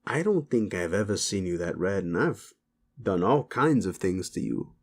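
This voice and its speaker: A man playing a gruff, sleazy werewolf boss with a hidden soft side, talking rough slang in an intimate, halting audio drama style. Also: sits low, animated. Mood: gruff, flirtatious.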